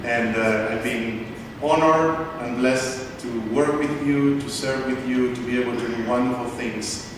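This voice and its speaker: A man, a cantor giving a heartfelt, grateful, slightly halting acceptance speech. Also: mid-range voice, fairly even intonation. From Argentina. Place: large synagogue hall. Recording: echoey hall from far off, noisy.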